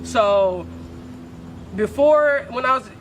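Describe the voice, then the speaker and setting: A young woman from Oakland with a deep voice, speaking candidly as a poet guest in a casual interview, full of slang and swearing. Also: animated, slow pace, a little hiss or hum. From Oakland, California.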